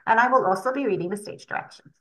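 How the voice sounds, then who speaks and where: A woman's voice: fairly high pitch, very fast, a little hiss or hum. A woman co-hosting an audio drama and reading its stage directions over a video call. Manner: expressive, bright. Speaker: co-host and narrator.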